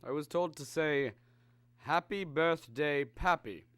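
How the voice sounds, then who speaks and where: An alien caller in a radio play, courteous and unsettling. The voice is fairly high and very smooth, every word formal and deliberately placed, recorded close and dry. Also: male voice, fairly bright tone, dry recording. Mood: calm, courteous. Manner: formal, measured, deliberate speech. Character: polite, eerie alien visitor from another planet. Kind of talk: radio drama acting.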